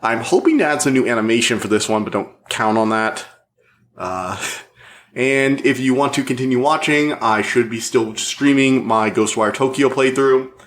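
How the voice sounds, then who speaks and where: A man hosting a book review podcast, talking casually and a little hoarse, rambling and restarting his thoughts. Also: medium pitch, moderate pace. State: slightly hoarse. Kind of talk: casual spoiler review monologue.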